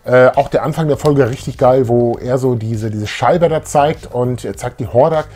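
A man's voice: medium pitch, moderate pace, mellow. A middle-aged man chatting casually about favourite things as co-host of a fan show. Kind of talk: casual chat, recounting favourite audio dramas. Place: small room full of posters and collectibles.

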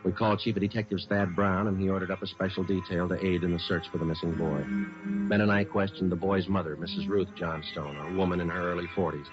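An actor playing a terse, businesslike American police sergeant in a 1950s radio crime drama, clipped and deadpan. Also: male voice, sits low, fast, music in the background, natural voice. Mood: flat, factual. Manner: deadpan, clipped, matter-of-fact. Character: terse, businesslike male police sergeant narrating his case. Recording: muffled vintage radio recording.

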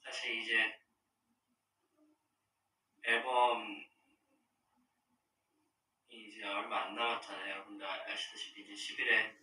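A young man in his twenties chatting casually to fans on a livestream, soft-spoken and drifting into murmurs and whispers. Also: on the low side, little pitch movement, measured pace, pauses often, recorded from a distance, slight background noise. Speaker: livestream host. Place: room with dark wall at a long table, indoors. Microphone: device camera across the table. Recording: roomy, from slightly off-mic.

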